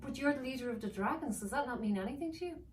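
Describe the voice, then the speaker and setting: A woman's voice: high-pitched, expressive, quick pace, voice pushed up. Woman playing an urgent, pleading young girl. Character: earnest, pleading young girl, a loyal sister. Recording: roomy, distant mic with faint noise.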